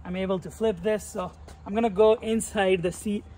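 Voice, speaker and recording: A man's voice: high voice, steady tone, normal speed, mellow, slight background noise. A man in his thirties casually reviewing a product outdoors, chatting while he demonstrates it by hand. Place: outdoors beside a car, moving around the trunk.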